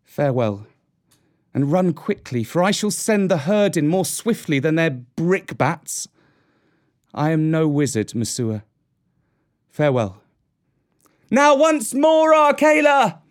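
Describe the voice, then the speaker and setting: A man's voice: a little high, lively intonation, a little slow, pauses often, a little mellow. A man voicing a proud, scornful young boy raised by wolves, in archaic, declamatory storybook speech. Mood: earnest, urgent. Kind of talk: storybook character voice.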